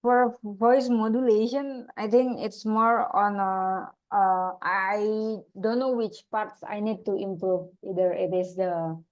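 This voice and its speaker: A woman with a mid-pitched voice is heard through dull, low-fidelity audio. She talks casually as a student being coached, agreeable and a little tentative, with frequent short acknowledgements. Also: steady tone, slow, hardly any pauses, a little mellow. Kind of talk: casual chat in a lesson.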